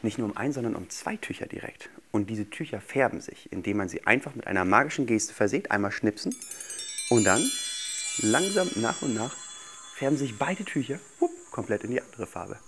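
A man's voice: medium pitch, expressive, brisk, fairly bright tone. A man in his thirties, a magician guest on a children's show, explaining things simply to young viewers. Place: small indoor TV studio set. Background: background music.

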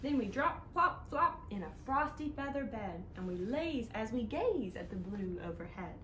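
A woman hosting a children's storytime, reading aloud in an animated, sing-song way that speaks directly to little listeners. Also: on the high side, normal speed. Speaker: children's storytime host at a library. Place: living room indoors, seated on a couch. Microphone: camera a few feet away. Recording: roomy home sound with noticeable background noise.

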